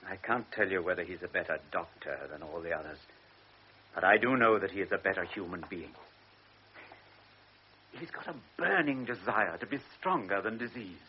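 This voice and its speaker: An actor playing a frail, anxious elderly baron who is a devoted father. He speaks earnestly, stammers and drops into hushed tones. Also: sits low, expressive, normal speed. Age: older. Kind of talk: classic radio drama acting. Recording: lo-fi radio recording with faint hiss.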